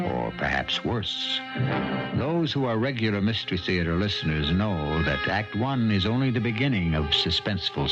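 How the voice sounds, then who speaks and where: A low-voiced man narrates as host of an old radio mystery show. His delivery is theatrical and suspenseful with sweeping intonation, set against loud music. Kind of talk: scripted dramatic narration. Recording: dull old radio broadcast recording. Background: prominent dramatic music.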